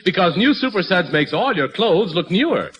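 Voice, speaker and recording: An actor playing a friendly neighborhood uncle, delivering a polished, emphatic 1940s radio sponsor pitch like an announcer. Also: male voice, big pitch swings, normal speed, fairly bright tone. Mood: upbeat, persuasive. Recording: slightly noisy 1940s radio recording.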